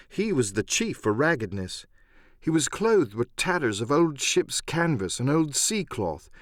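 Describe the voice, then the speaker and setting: Male storyteller for adventure audiobook: mid-pitched, highly animated, measured dramatic phrasing. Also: no echo, clean studio sound. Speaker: audiobook narrator. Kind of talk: dramatic scripted narration of an adventure novel.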